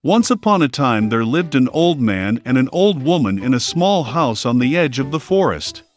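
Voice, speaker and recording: A man's voice: mid-range voice, very animated, moderate pace. A man reading a scripted fairy tale for children as a storyteller.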